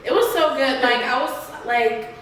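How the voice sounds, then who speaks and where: Laughing woman, fairly high, echoey room. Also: measured pace, a bit bright. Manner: laughs easily, fills with "like". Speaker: podcast co-host. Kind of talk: casual chat. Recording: echoey room, far from the mic, faint noise.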